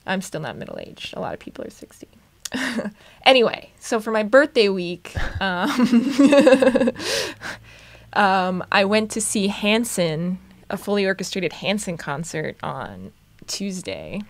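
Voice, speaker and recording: Casual thirty-year-old woman, mid-pitched voice. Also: very animated, takes it slowly, dry recording. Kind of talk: casual chat.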